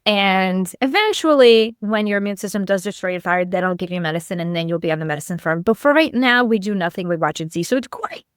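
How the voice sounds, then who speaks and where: A woman's voice: mid-range voice, fast, hardly any pauses, clear, resonant tone, dry recording. Middle aged lady from lithuania chatting as a podcast guest, tells her story with little acted-out quotes, dry sound. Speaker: podcast guest, clinical nutritionist. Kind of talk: conversational personal storytelling in an interview.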